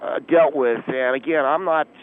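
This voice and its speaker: A man's voice: a little high, smooth, lightly acted. Man playing an officious auxiliary cop on tinny phone. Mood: self-important, insistent. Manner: officious, stiffly formal, halting delivery. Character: earnest, self-important volunteer auxiliary police spokesman. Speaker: phone-in guest. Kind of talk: comedy radio call-in. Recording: tinny phone line with noise.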